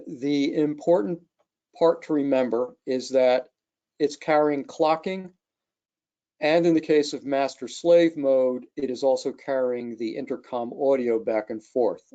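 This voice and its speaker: A man's voice: mid-range voice, unhurried, mellow. A man presenting as an engineer in a webinar, talking through technical details unscripted over an online call. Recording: dull, lo-fi webcast audio.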